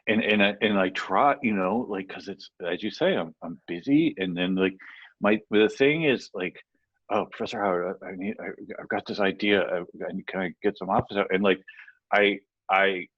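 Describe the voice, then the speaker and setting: An older man with a deep voice, co-hosting a casual podcast chat and rambling with many restarts. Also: no echo, muffled recording. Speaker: podcast co-host and professor.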